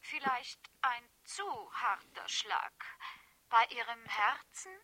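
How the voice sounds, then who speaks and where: An actress in a 1970s radio play voicing a polite, insinuating female blackmailer with a flattering, apologetic manner. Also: on the high side, takes it slowly, full character voice, rough recording.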